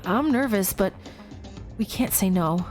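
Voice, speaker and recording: A woman's voice: medium pitch, expressive, measured pace, a little mellow, soft background music, natural voice. A woman reading the lines of a wary, uneasy young man in a game, in her own voice and a hesitant, questioning manner.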